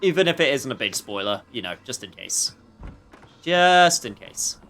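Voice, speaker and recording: A man's voice: medium pitch, sing-song, normal speed, fairly bright tone, soft background music. A man giving casual, wry commentary as he livestreams a game.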